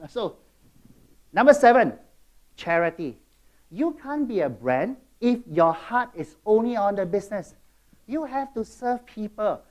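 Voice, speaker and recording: A middle-aged man with a Singaporean English accent giving a motivational keynote, jumping between loud bursts and quiet asides and checking in with the audience. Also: high voice, big pitch swings, measured pace, pauses often. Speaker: keynote speaker.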